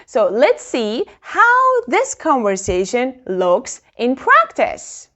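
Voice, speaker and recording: Very slow, lively English teacher. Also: female voice, fairly high pitch. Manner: very slow, clearly modelled phrases for repetition. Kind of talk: beginner English lesson narration.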